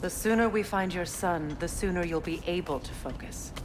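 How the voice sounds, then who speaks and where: An actress playing a guarded, determined middle-aged witch goddess in a video game, speaking in a measured, serious way. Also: on the low side, noisy background, natural voice. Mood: resolute. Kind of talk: video game character acting. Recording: loud game music behind.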